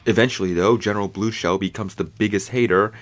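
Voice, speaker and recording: A man's voice: on the low side, steady tone, quick pace. A man narrating a scripted video review with casual, opinionated fan commentary. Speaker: film reviewer.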